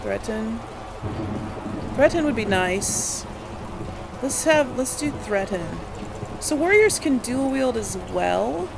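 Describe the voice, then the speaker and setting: A man's voice: very high voice, lively intonation, slow, vocal fry, pauses often, background noise. A man giving casual gameplay commentary, thinking aloud as he hosts a let's play. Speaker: gamer, let's play host.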